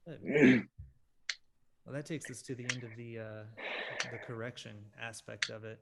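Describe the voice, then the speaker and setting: A man hosting an English conversation lesson, correcting a student's grammar. He slows down and speaks deliberately when modelling the correct phrases. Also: medium pitch, steady tone, creaky, slight background noise. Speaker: English teacher and host.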